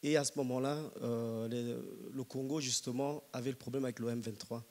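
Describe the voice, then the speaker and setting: A man from Rwanda speaking as an audience member at a public debate, hesitantly, pausing to find his words. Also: medium pitch, steady tone, a little mellow. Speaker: audience member asking a question. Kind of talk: public question-and-comment at a debate.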